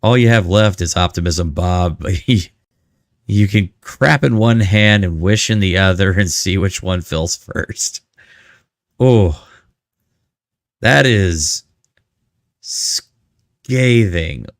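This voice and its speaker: A man's voice: sits low, slow pace, long pauses, dry recording, clean studio sound. A man hosting a live stream with casual, joking commentary and asides to his chat.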